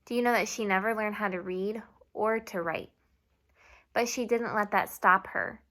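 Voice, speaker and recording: A young woman teacher giving a video lesson to children, speaking slowly and clearly and switching between English and Spanish. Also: medium pitch, a bit bright. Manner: slow and clear, mixing English and Spanish, asks students to repeat. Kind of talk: classroom video lesson for kids.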